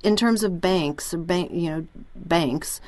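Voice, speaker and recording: Low-voiced woman interviewing. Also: takes it slowly, a little mellow, no room sound. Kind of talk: conversational phone interview. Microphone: telephone call.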